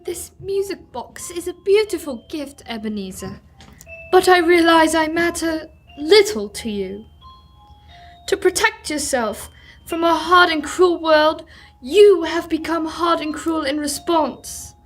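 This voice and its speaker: A young woman playing a sorrowful, gentle fiancée in an old-time radio drama. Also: very high voice, animated, unhurried, soft background music. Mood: sorrowful, resolute. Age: teens.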